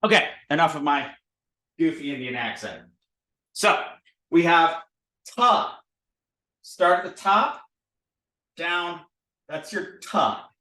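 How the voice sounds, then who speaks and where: A man teaching at a board, animated and drilling sounds by repetition. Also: fairly high pitch, slow pace, pauses often, roomy sound, distant mic. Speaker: language teacher. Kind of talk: recorded board lesson. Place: indoor room with a board.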